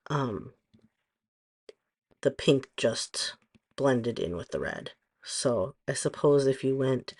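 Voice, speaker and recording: A middle-aged woman with a hoarse voice, chatting casually through a nail tutorial and rambling with frequent pauses and ums. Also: extremely low pitch. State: hoarse from allergies. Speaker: nail stylist vlogger.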